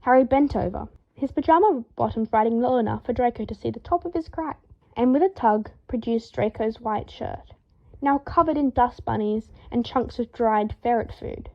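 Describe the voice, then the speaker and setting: A woman reading a story aloud as narrator in an expressive, scripted style. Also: a little high, moderate pace, vocal fry, muffled recording. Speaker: fan fiction narrator.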